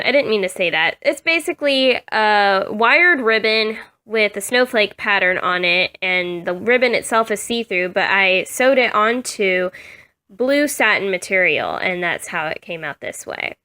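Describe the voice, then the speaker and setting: Chatty woman with a slight cold-husky voice. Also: medium pitch, animated, a little slow, sharp tone. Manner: chatty, many ums and self-corrections. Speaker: doll customizer showing her work. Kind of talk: casual show-and-tell vlog. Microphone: phone camera.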